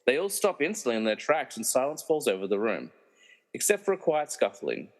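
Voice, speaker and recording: Theatrical comedy narrator played by a man, mid-pitched and very animated, dry mocking asides, long hall reverb. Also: a little fast. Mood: suspenseful. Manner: wry, theatrical. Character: wry, meddling movie narrator who mocks the characters. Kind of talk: dramatic scripted narration with comic asides.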